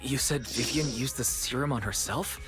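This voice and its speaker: An actor playing a caring, worried young man in a video game, calm and protective. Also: mid-range voice, moderate pace, soft background music, lightly acted.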